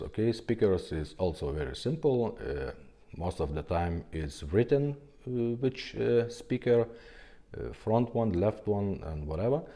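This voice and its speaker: A man who speaks English as a second language, giving an informal hands-on tutorial in a halting way, often checking in at the ends of phrases. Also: sits low, dark tone. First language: not English. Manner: halting, repeatedly ending phrases with a checking "okay?". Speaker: video tutorial host.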